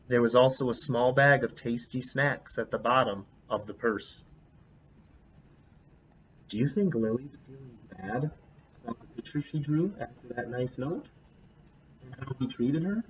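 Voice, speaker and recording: A man reading a children's picture book aloud expressively, pausing to ask his listeners questions. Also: medium pitch, slight background noise. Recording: dull lo-fi recording.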